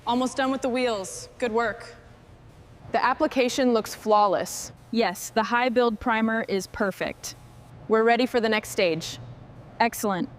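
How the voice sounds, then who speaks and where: Higher-pitched woman over background noise. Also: a little slow. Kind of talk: short work remarks in a restoration video.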